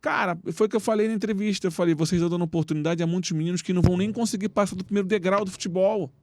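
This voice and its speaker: A man who coaches youth football, speaking as a podcast guest and telling stories in animated street slang. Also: sits high, very fast, slight background noise. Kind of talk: casual interview talk. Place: podcast studio.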